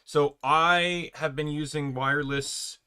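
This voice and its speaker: A young man streaming, chatting casually with a loud voice, rambling with lots of fillers and restarts. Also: a little high, steady tone, takes it slowly, smooth.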